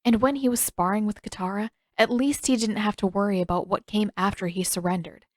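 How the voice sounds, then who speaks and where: A woman narrates a piece of fiction in audiobook style. Her voice sits at a medium pitch, and the recording is dry, with little trace of the room. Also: steady tone, brisk, dry recording.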